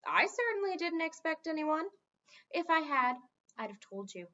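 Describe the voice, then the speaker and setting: A woman's voice: sits high, sing-song, moderate pace, bright, forceful tone, dull audio, pitched above the performer's own voice, exaggerated voice. A woman voicing a blunt, informal king in a storybook, plain-spoken, wry and impatient. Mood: exasperated.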